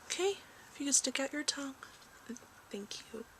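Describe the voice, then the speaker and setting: A soft-spoken woman who often slips into a whisper, playing a gentle, reassuring doctor in an ASMR medical exam roleplay. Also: high voice, animated, moderate pace. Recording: clearly noisy amateur recording.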